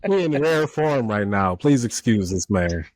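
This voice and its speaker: A man's voice: lowish voice, expressive, a little slow, no room sound. A man co-hosting a casual podcast in a teasing, pressing, slangy way. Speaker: podcast co-host. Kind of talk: casual chat.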